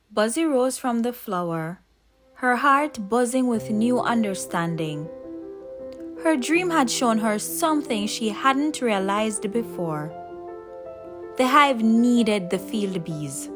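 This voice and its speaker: A woman reading a scripted children's story as a narrator, expressive and unhurried, with deliberate pauses. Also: a little high. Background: background music.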